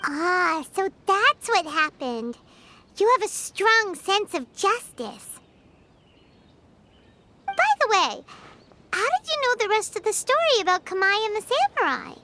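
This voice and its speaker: A tiny, childlike game fairy, extremely high and squeaky. She is naive and chatty, with very animated swings of pitch and a slow, exclamatory delivery. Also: slight background noise, full character voice. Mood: inquisitive. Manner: exclamatory, refers to self by name. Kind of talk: video game voice acting.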